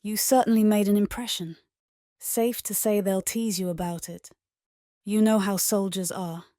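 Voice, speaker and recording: A woman playing a calm, reassuring doctor in an audio comic, with a soothing, measured manner. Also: medium pitch, dry recording, studio quality, natural voice. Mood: calm concern. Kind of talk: audio comic dramatic acting.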